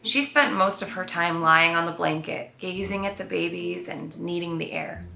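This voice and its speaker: A woman, a children's author, reading and presenting her own storybook in an expressive read-aloud style. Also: lowish voice, normal speed, vocal fry. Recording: roomy echo, faint music behind.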